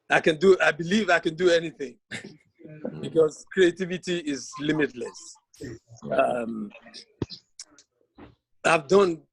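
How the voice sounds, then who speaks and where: A man's voice: mid-range voice, gravelly, low-quality recording. A middle-aged man from Nigeria, a textile artist, introducing himself on a video call with jokes and frequent laughter. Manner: jovial, laughs often, joking.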